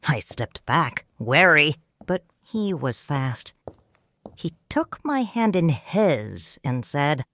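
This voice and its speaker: A woman narrating a story in first person, calm and reflective. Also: low-pitched, slow pace.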